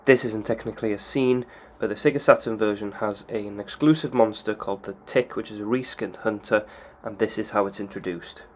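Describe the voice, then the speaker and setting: A man in plain, casual voice-over narration, explaining video game steps one by one. His voice sits a little low and stays steady and matter-of-fact. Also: brisk, few pauses. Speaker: video game channel host.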